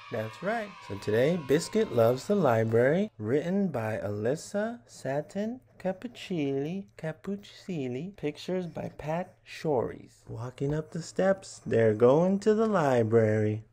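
A man in his thirties hosting a picture-book read-along for young children, animated and chatty, often putting questions to his listeners. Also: fairly high pitch. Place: small indoor room at home.

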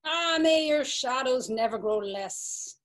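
Actress for a high, excitable Irish pub drinker. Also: female voice, slow. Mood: astonished. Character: startled Dublin drinker Alf, excitable. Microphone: video call webcam.

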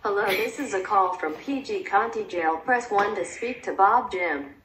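A woman's voice: mid-range voice, moderate pace, a bit bright. A woman giving a formal, scripted announcement for a phone call. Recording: distant, noisy home recording of call.

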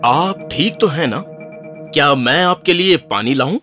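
Lively, gallant young prince. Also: male voice, a little high. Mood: courteous. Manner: courteous, formal. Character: polite, gallant young fairy tale prince. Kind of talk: children's animated fairy tale voice acting. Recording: music under the voice.

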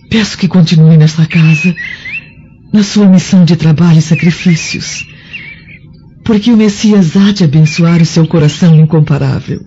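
A woman playing a gentle, devout Roman noblewoman in a radio drama, earnest and formal in manner. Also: sits low, natural voice. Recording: muffled audio, background noise.

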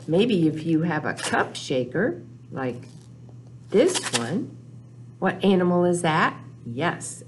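A woman's voice: deep voice, slight background noise. An older woman hosting a children's storytime, speaking in simple, repeated phrases to young listeners in a small room. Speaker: children's librarian and storytime host. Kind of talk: children's storytime with rhymes and sing-along. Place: small indoor room, recorded for video.

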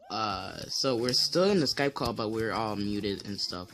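A teenage boy with a deep voice giving casual gameplay commentary as channel host, rambling with many fillers and restarts. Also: measured pace, fairly bright tone.

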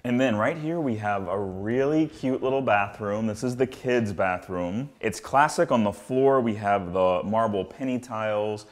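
Low-voiced man hosting a home tour. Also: unhurried, hardly any pauses. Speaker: house tour host, renovator. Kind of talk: casual presenting, home walkthrough. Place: indoors, in an apartment.

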